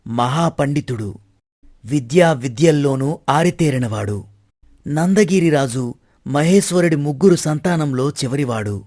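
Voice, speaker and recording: A formal royal minister acted by a man speaks in a mellow, slightly high voice. He is respectful and declamatory, a dutiful courtier from a storybook drama, recorded dry. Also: no echo. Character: deferential, formal royal minister praising and presenting candidates. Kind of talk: dramatized moral story acting.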